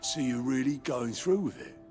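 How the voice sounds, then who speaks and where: An actor playing a sardonic underworld informant in a video game, sly and colloquial, with a British accent and gravelly voice. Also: male voice, mid-range voice, normal speed, darkish tone, faint music behind, natural voice. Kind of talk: video game cutscene acting.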